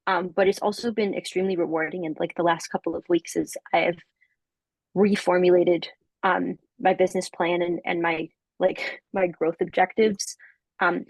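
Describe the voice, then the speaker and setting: A young woman giving a coaching testimonial in casual conversation, halting and full of fillers and restarts, often laughing. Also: lowish voice, vocal fry, dry recording, rough recording.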